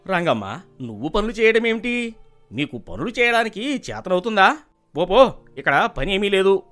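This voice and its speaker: A man voicing a haughty rich village merchant, loud, scornful and dismissive, in a moral story. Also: sits high, very animated, normal speed, soft background music.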